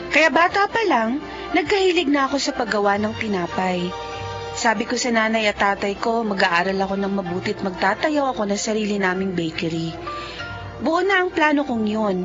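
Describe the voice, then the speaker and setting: A woman's voice: on the high side, lightly acted. A woman playing a cheeky, dreamy young Filipino student daughter in a radio drama. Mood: earnest, reminiscing. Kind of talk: radio drama acting and first-person narration. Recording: lo-fi radio audio under music.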